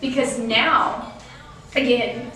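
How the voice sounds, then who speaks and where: Young woman from Texas, upbeat and talkative, slightly high voice, echoey room away from the mic. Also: steady tone, extremely slow, fairly bright tone, distant mic, slight background noise. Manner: upbeat, talkative, laughs often. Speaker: real estate agent, guest. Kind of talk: casual interview chat.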